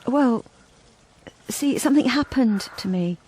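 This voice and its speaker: An actress playing a lonely, downtrodden wife in a radio drama, with a bleak, understated delivery. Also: female voice, on the high side, expressive, unhurried, darkish tone, slight background noise, rough recording. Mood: hesitant, nervous. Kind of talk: first-person radio drama narration.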